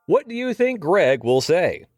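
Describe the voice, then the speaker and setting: A man's voice: high voice, sing-song, measured pace, a little mellow, slight background noise. An actor playing a slick, smug TV game show host, showy, glib and teasing as he presents.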